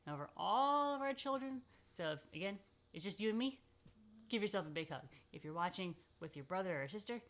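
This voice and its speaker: A woman's voice: medium pitch, expressive, a little fast, fairly bright tone. A woman rabbi hosting a children's story time, speaking informally and playfully straight to the children in a chatty way.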